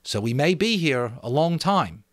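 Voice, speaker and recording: A man's voice: fairly high pitch, big pitch swings, unhurried, dry recording, studio quality, pitched above the performer's own voice. A man voicing a confident, cheerful schoolboy leader, speaking emphatically as if to a group in a dramatic audiobook reading. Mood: upbeat, rallying.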